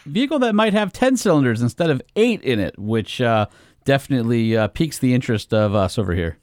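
A man's voice: mid-range voice, big pitch swings, a little fast, a little mellow, no room sound, studio quality. A man hosting a truck podcast, asking questions with enthusiasm and frequent hesitations. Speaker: podcast host. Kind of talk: casual interview talk.

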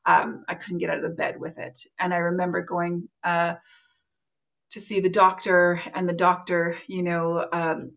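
A middle-aged woman with a Canadian accent, a podcast guest telling her own story in a soft, thoughtful way with many pauses. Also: on the low side, level tone, creaky. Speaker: podcast guest, meditation teacher. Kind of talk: reflective conversational storytelling.

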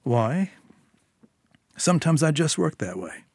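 A suave, coldly polite crime boss threatens in a smooth, lifted voice, as a male narrator puts him on. The menace stays courteous. The sound is dry and close, like a studio audiobook. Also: fairly high pitch, very animated, slow, darkish tone, no room sound, pitched above the performer's own voice, full character voice. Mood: menacing.

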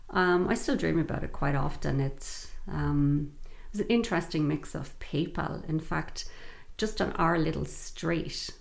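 This is a middle-aged woman from Dublin's north side with a clear Dublin Irish accent. Her voice sits low and has a heavy gravelly fry running through it. She talks through her memories at an easy, reflective pace, pausing on fillers. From Ireland, Dublin north side. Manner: reflective, frequent fillers, laughs while telling stories. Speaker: guest. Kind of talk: conversational interview storytelling.

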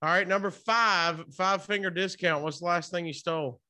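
A man doing a casual, playful interview as the host, chatty and prompting with quick follow-ups. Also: high-pitched, steady tone, sharp tone.

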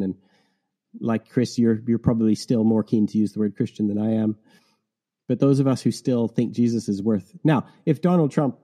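A man from Canada, his accent softened by years in England, talks in an earnest, searching way as he hosts a podcast conversation. His voice is very dark and mellow and sits at a middling pitch. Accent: Canadian with English influence. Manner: earnest, reflective, asks pointed questions. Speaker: podcast host.

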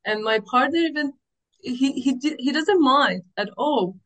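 A woman's voice: sits high, lively intonation, unhurried, fairly bright tone. A woman from Iran with an Iranian accent, chatting informally and effusively as a guest in an interview. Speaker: podcast guest, activist artist. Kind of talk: casual conversational interview.

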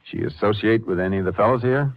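An actor playing a calm, authoritative Texas Ranger in an old-time western radio drama, measured and firm. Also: male voice, lowish voice, close to an everyday voice. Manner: measured, firm, matter-of-fact. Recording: muffled, noisy 1950s radio recording.

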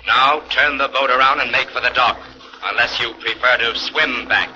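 An actor playing a calm, commanding masked crime-fighter who taunts villains, in a 1940s radio drama. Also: male voice, medium pitch, moderate pace. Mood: coolly menacing. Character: masked male crime-fighter, cool and menacing. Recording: muffled 1940s radio recording, distant.

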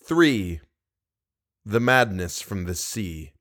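A man's voice: lowish voice, big pitch swings, drawn-out words, fairly bright tone. A man who hosts a podcast and reads classic horror fiction aloud, speaking as a narrator and presenter. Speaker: podcast host and story reader. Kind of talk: casual podcast introduction and audiobook-style narration of a horror story.

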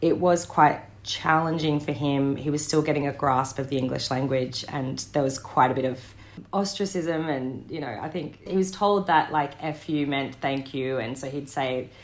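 An Australian woman in her thirties, an interview guest telling family stories in a thoughtful way, pausing with ums and restarting her sentences. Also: low voice. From Western Sydney, Australia. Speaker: interview guest, director and actor. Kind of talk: reflective conversational storytelling.

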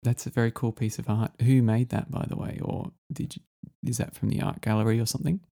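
A man's voice: medium pitch, little pitch movement, fast, vocal fry, dark tone. A man hosting a casual music interview, rambling and enthusiastic with lots of fillers. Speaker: podcast host.

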